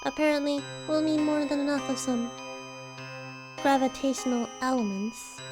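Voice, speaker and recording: A woman's voice: high-pitched, fairly even intonation, normal speed, lightly acted. A woman voicing a young-sounding magician who explains things matter-of-factly in an audio drama. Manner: matter-of-fact. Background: music.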